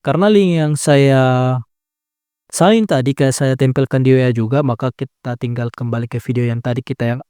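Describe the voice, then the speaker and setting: A man's voice: mid-range voice, fairly even intonation, normal speed, a little mellow, smooth, dry recording, clean studio sound. A young man giving a casual, chatty step-by-step editing tutorial, laid-back with lots of filler tags. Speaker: tutorial creator. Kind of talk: casual screen-recording tutorial.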